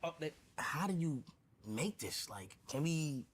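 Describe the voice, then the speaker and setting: A young man with a Dominican accent, chatting excitedly and joking as he tastes and plates food in a restaurant kitchen for his stream. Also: a little high, measured pace, slight background noise. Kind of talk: casual food vlog chat.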